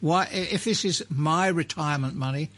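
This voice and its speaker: Male co-host with a higher voice who asks challenging questions. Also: normal speed, fairly bright tone.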